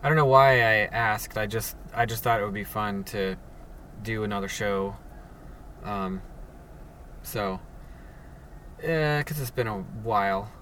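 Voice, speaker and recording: A low-voiced man talks in a flat monotone, halting often with ums and restarts as he rambles through a solo podcast from his parked car. His voice sits a little back from the mic, with a faint hum of noise behind him. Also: recorded from a distance. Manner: hesitant, halting, many fillers and restarts. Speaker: podcast host. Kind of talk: casual solo podcast monologue. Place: sitting alone in a parked car.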